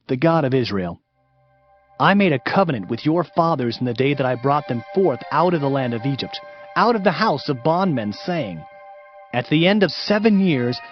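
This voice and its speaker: High-pitched, stern biblical prophet. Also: male voice. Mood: stern, reproachful. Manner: declamatory, emphatic. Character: stern, fervent male Old Testament prophet. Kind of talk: dramatized scripture reading. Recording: dull audio with music behind.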